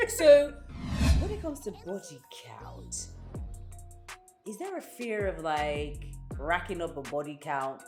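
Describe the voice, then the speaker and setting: A woman co-hosting a podcast, her pitch swinging up and down as she talks. She poses questions chattily, circling back with restarts and fillers. The room sounds echoey, with faint music behind her. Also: big pitch swings, slow. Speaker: podcast co-host. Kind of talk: casual chat.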